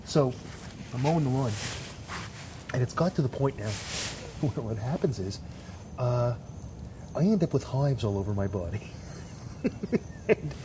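A man's voice: mid-range voice, warm tone. A man with a stuffed-up, congested voice chatting casually, rambling and joking, swearing now and then. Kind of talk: casual chat. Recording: outdoor noise, crickets behind.

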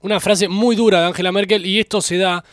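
A man's voice: high-pitched, fairly even intonation, fast talker, dry recording, studio quality. A man hosting a talk show, reporting political news in a conversational, slightly hesitant way. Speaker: radio host. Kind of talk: news presenting chat.

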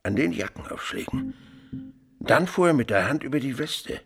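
An actor playing a frightened, muddled peasant suspect in a period radio play, halting, repetitive and servile. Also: male voice, mid-range voice, huge pitch swings, creaky, a bit bright, husky, soft background music, full character voice. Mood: dazed, halting.

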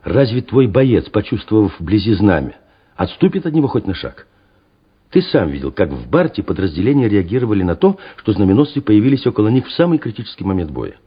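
An impassioned, husky low-voiced army commissar. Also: male voice, sing-song, a little fast. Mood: insistent, persuasive. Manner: urgent, persuasive, rhetorical. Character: earnest, insistent male Soviet army political officer. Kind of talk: Soviet radio drama acting. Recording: muffled old radio play recording.

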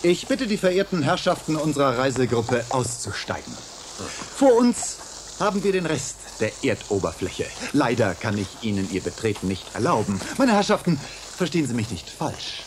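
Actor as long-suffering colony man, lively delivery. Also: mid-range voice, normal speed, slight background noise, natural voice. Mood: mock tour guide. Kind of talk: radio play acting.

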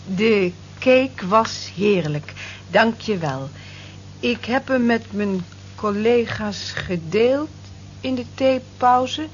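A woman's voice: fairly high pitch, animated, slow. An actress playing a kind, long-suffering cleaning woman in a Dutch radio drama. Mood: slow, careful. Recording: muffled, lightly noisy old radio recording.

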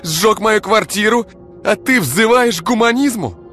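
A man voicing a shrill furious young woman. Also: lively intonation, fairly quick, a little mellow, faint music behind, natural voice. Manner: shrill, shrieking when enraged. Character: fierce young woman, furious and sharp-tongued.

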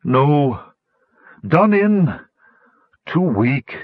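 A man voicing a dying brass dragon in a storybook, gentle and resigned, with weary, halting phrases. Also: on the high side, big pitch swings, dry recording, phone-quality sound, voice pushed up, heavily acted.

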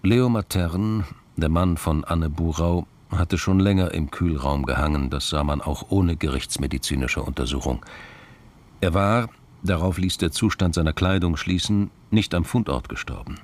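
Very deep, gravelly male narrator. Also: moderate pace, a little mellow. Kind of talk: scripted crime drama narration.

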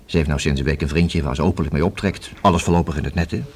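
Deep-voiced methodical police inspector. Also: male voice, fairly even intonation, husky. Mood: pensive, speculating. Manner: formal, deliberate, measured questioning. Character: methodical male police inspector, reasoning and probing. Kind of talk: radio drama acting. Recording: hissy old radio play recording.

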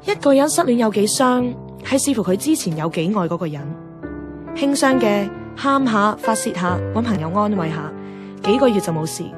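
An actress playing a blunt, nagging young best friend in a Cantonese radio drama, with a Hong Kong accent and a brisk, dramatic, teasing delivery. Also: female voice, medium pitch, lively intonation, over music, close to an everyday voice. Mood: wry narrating. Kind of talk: radio drama acting and narration.

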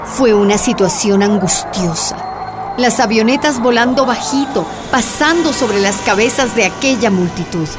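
A woman's voice: on the high side, moderate pace, over music, close to an everyday voice. A woman narrating a scripted radio drama in a grave, dramatic storytelling manner.

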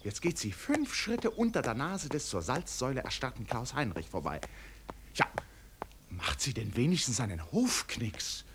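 A man's voice: mid-range voice, sing-song, normal speed, noisy background. A man playing a flustered eyewitness narrator in a radio play, theatrically exclaiming and repeating himself like a live commentator. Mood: astonished, indignant. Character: flustered, excitable male eyewitness narrator at a parade.

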